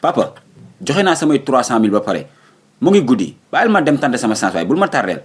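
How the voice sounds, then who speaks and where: Man acting a rapid-fire, husky Senegalese young son. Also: medium pitch, big pitch swings. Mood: pleading. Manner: argumentative, quick excuses. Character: determined, evasive young son eager to leave home. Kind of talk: comic cartoon dubbing.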